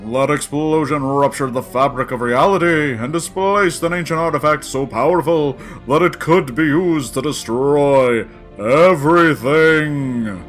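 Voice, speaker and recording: A man's voice: a little high, measured pace, a bit bright, faint music behind. An actor playing a solemn pan-dimensional guardian in a sci-fi audio drama, portentous and theatrical, drawing out his phrases. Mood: solemn, foreboding. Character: solemn male cosmic guardian, grandiose pan-dimensional being.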